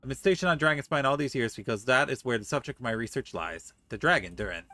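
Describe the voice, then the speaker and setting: Guy streamer reading game story out loud, quick, bright and playful, very dry mic. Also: medium pitch, animated, no echo. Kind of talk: livestream game playthrough, reading dialogue aloud and commenting.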